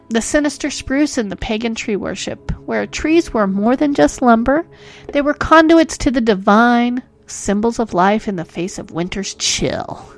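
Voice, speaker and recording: A woman hosting a podcast who narrates history in a playful, self-mocking way full of jokes. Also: on the high side. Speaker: podcast host.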